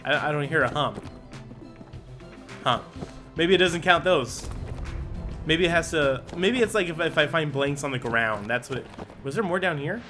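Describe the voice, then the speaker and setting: Brief: upbeat young male gamer, slightly high voice, excitable and chatty, loud game music underneath. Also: normal speed, pauses often. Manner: excitable, exclaims often, stammers and restarts. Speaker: gaming YouTuber. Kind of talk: casual let's play commentary.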